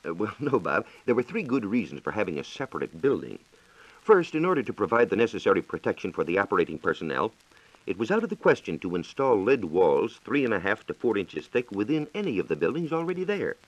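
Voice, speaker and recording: Male American science reporter, vintage radio: lowish, animated intonation, formal explanatory delivery, dull old recording. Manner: formal, explanatory, measured diction. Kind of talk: scripted 1940s radio exposition.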